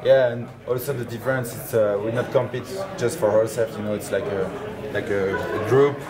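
A young man from France with a French accent, a pro skier chatting in halting, relaxed English. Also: on the low side, crowd noise. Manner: halting, relaxed, short answers. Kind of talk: casual chat. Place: lodge room with trophies, indoors.

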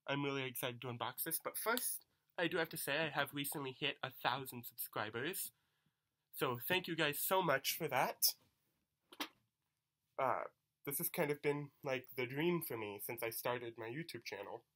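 A young man talks through a toy unboxing with eager, chatty energy and a bright, forward tone. He is coming down with a cold. It sounds like a camera mic in a small room at home. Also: medium pitch, moderate pace. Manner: excited, chatty, self-deprecating. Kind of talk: casual unboxing commentary.

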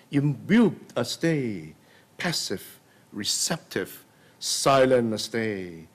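An older man reading poetry aloud at a podium microphone, with solemn, deliberate, declamatory phrasing. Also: medium pitch. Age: older, senior. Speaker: poetry reader. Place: library meeting room, indoors.

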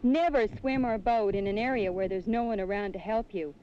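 An actress playing a reassuring superheroine who teaches children safety in an earnest, measured, didactic way in a 1970s educational film. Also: female voice, mid-range voice, mellow, lightly acted. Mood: instructive. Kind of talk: scripted 1970s educational film acting and narration. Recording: muffled, noisy 1970s educational film soundtrack.

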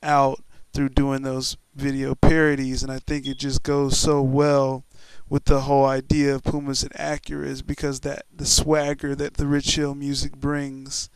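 A man's voice: mid-range voice, monotone, measured pace, clean tone, no echo, poor audio. A man talking casually and enthusiastically in a rambling, interview-like way about his creative work. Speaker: music video maker. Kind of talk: casual interview-style talk.

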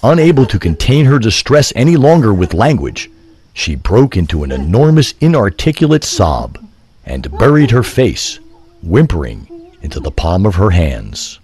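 A man's voice: fairly low pitch, very animated, normal speed, darkish tone. A man narrating a scripted story in an ornate, theatrical style.

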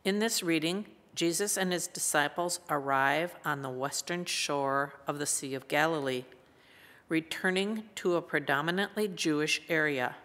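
An American woman in her seventies reading scripture formally and in a measured way at a church pulpit. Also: sits low, a bit bright. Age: 70s. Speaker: lay scripture reader. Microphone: gooseneck lectern microphone. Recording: reverberant church hall.

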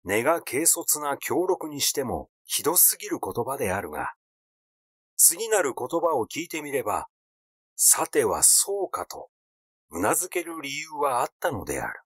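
A man narrates literary fiction in a measured storytelling manner. His mid-pitched voice moves in a lively way across its range, and the recording is dry, with hardly any room sound. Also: breathy edge, no echo. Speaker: audiobook reader. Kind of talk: scripted literary narration, reading a story aloud.

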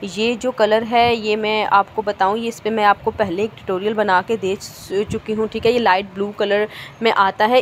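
A woman's voice: medium pitch, slight background noise, poor audio. A woman, a hairstylist narrating a casual how-to tutorial in a salon and often saying "theek hai ji". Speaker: hairstylist and channel host. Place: hair salon.

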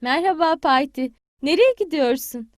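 Woman voicing a gentle mother hen. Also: high-pitched, animated, moderate pace, loud music behind the voice, pitched above the performer's own voice. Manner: gentle, guiding. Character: caring mother hen, calm and warm.

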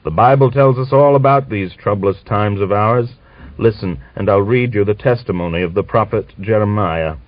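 A scolding, pious old man of nearly a hundred rails at the young and reads scripture in a slow, solemn cadence, as a male narrator puts him on. His voice rises high and swings widely. The recording sounds dull and dry. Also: sing-song, no echo, full character voice. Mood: bitter. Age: older.

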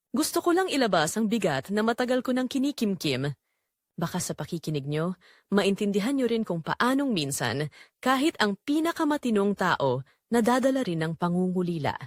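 A woman's voice: mid-range voice, no room sound, studio quality. A woman with a Filipino accent playing a lonely newlywed wife, confiding earnestly in first-person audio drama narration. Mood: confiding, burdened. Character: lonely young newlywed wife confessing her secret.

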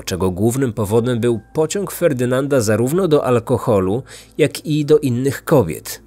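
A man's voice: mid-range voice, a little mellow. A man reading a scripted true-crime story as a podcast narrator.

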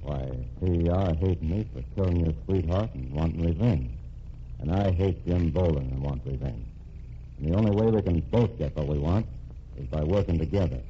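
A man's voice: low-pitched, moderate pace, natural voice. An actor playing a cocky, bitter jailed gangster in 1940s radio drama style, with slangy tough-guy talk. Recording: dull lo-fi old radio recording with faint hiss.

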